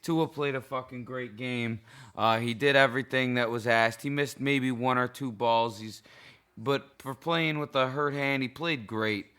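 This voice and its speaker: A tipsy man with a worn-out, cracking voice rants about a football game, swearing often and wandering off track. His tone is bright and forceful, and his voice stays smooth and clear beneath the hoarseness. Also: mid-range voice, steady tone, measured pace. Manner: profane, heated, rambling. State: hoarse, intoxicated. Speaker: sports fan vlogger. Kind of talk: casual game reaction rant.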